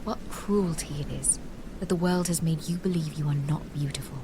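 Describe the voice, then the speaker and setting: An actress playing a gracious, animated queen in an audio drama, speaking in formal, consoling phrases. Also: low voice, moderate pace, noisy background. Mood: tender, consoling.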